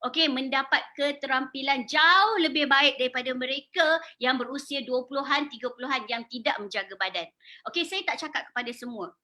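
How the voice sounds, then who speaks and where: High, bright-voiced Malaysian saleswoman. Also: brisk. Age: 40s. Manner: emphatic, repetitive, addresses listeners with frequent questions and check-ins. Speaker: product presenter and sales promoter. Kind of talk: informal live sales presentation.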